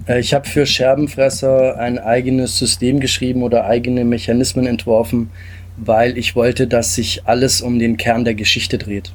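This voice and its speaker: A man with a husky, slightly breathy voice at an ordinary middle pitch. He explains his tabletop game step by step in a calm, patient way, pausing often on filler sounds. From Italy. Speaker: game designer, guest.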